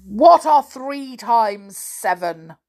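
A woman voicing a huge, dim, bad-tempered male giant in a storybook, bullying and loud. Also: mid-range voice, animated, a little slow. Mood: angry roaring. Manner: roaring, thundering, muttering over sums.

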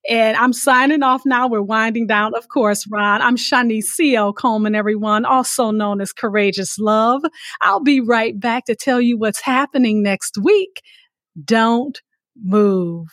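Gracious, higher-voiced woman hosting a show. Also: measured pace, fairly bright tone, dry recording, clean studio sound. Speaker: podcast host. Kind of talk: warm interview hosting with sign-offs.

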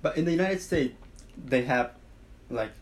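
A man's voice: medium pitch, lively intonation, normal speed. A man whose first language is Japanese co-hosts a podcast in casual chat, asking short questions and giving brief replies. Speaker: podcast co-host.

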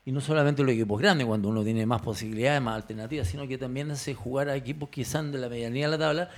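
A man's voice: mid-range voice, fairly even intonation, rapid-fire. A man on a sports panel giving opinions in an emphatic way, often repeating his words. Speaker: sports panelist, former player. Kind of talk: sports talk commentary.

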